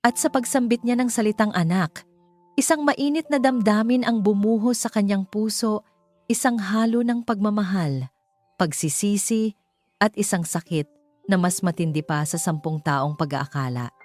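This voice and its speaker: A woman's voice: mid-range voice, normal speed, darkish tone, faint music behind. A woman giving a dramatic, scripted narration of a romance story.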